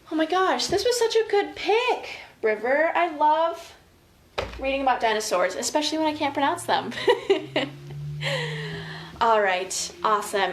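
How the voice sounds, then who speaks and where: High-voiced, unhurried American woman, children's educator. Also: slight background noise. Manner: expressive, exclaiming, chatty, addressing children directly. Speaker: children's educator leading story time and movement. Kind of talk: animated picture-book reading and casual guided instruction for young children.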